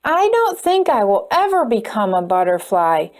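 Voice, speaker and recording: A woman's voice: on the high side, sing-song, normal speed, a little mellow, pitched below the performer's own voice. A woman voicing a timid young male caterpillar in a storybook, worried and hesitant. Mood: fearful.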